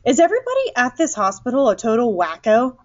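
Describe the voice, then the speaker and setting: A woman's voice: a little high, huge pitch swings, quick pace, muffled recording, voice pushed up. A woman reader voicing an anxious, exasperated mother in a story, dramatic and demanding. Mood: indignant.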